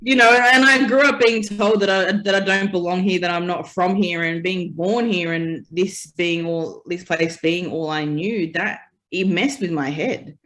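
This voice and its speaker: A woman's voice: on the low side, steady tone, measured pace, few pauses, a bit bright. An Australian woman interview guest, telling her own story in a reflective way with frequent "you know" and "like". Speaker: guest, festival founder. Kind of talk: casual interview chat.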